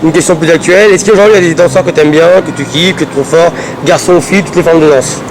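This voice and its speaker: A man's voice: on the high side, quick pace, noisy background. A man hosting a street interview outdoors, asking chatty questions with lots of fillers.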